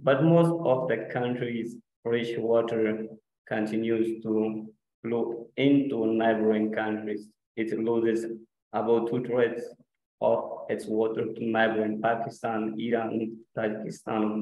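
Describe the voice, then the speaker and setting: A man's voice: fairly low pitch, a little mellow. A man with English as a second language giving a student presentation over a video call, hesitant with many fillers and restarts. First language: not English. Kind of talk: halting slide presentation. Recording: muffled, echoey video call audio.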